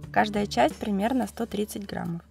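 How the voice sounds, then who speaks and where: Woman with a cold, gravelly voice. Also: medium pitch, normal speed, darkish tone, soft background music. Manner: methodical step-by-step explaining. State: has a cold, voice affected. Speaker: cooking channel host. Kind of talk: calm recipe voice-over narration.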